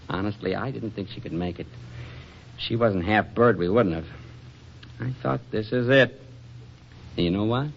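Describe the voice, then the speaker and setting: An actor playing an affectionate, teasing, excitable husband in a 1940s radio comedy, with a folksy manner. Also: male voice, lowish voice, normal speed, creaky, long pauses, slight background noise. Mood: shaken, confiding. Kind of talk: 1940s radio drama acting. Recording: dull vintage radio drama recording.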